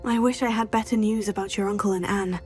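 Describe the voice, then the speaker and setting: An actress playing a composed, sympathetic teenage student witch in a video game cutscene, speaking in a measured, polite way. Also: mid-range voice, steady tone, darkish tone, soft background music, close to an everyday voice. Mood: cautious.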